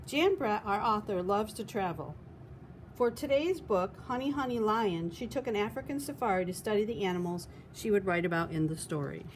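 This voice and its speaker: A woman's voice: medium pitch, lively intonation, moderate pace, slight background noise, rough recording. A woman reading a children's picture book aloud expressively, as a storytime host. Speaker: storytime reader.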